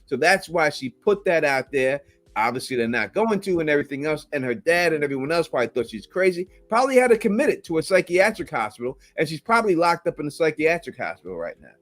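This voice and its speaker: A man's voice: on the high side, very animated, fast, dry recording. Emphatic man insisting on his theories. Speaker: co-host breaking down a trailer. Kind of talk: casual podcast chat.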